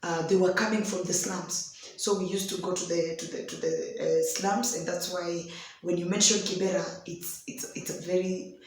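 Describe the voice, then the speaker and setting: A young Kenyan woman with a hoarse voice tells a personal story in an unhurried devotional talk, slipping in Swahili phrases. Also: on the low side, fairly even intonation. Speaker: host of a daily devotional. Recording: very echoey room.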